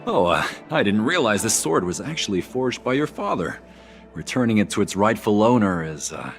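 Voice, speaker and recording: Male envoy, lowish pitch, lively intonation, courteous formal phrasing, slightly awkward, game character. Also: moderate pace, faint music behind. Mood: flustered. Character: polite, slightly awkward male foreign delegate. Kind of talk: video game voice acting.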